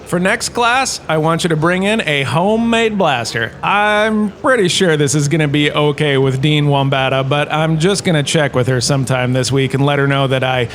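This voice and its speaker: A man's voice: fairly high pitch, moderate pace, hardly any pauses, fairly bright tone, a little hiss or hum, close to an everyday voice. A man playing an enthusiastic, quirky lecturer, a washed-up star, in a scripted comedic audio drama, chatty and full of showy asides. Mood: genial, showy.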